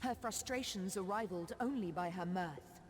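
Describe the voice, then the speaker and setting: An actress playing a solemn, foreboding sorceress in a fantasy video game, speaking in grave, formal, measured phrases. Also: female voice, medium pitch, slight background noise, natural voice. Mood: ominous. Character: solemn, foreboding sorceress grieving over her wayward sister. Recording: long reverberant hall.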